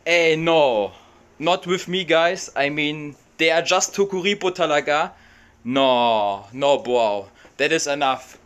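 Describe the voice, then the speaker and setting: A young man from Germany with a German accent, talking in an excitable, casual vlog style from a small room, close to the camera. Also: a little high, takes it slowly, clean tone. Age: young adult. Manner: excitable, mixes in Tagalog words. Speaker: vlogger.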